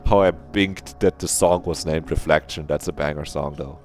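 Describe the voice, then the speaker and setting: A man's voice: extremely low pitch, fairly even intonation, moderate pace, a little mellow, faint music behind. A man reading a visual novel aloud on a livestream, with casual, joking, sweary asides.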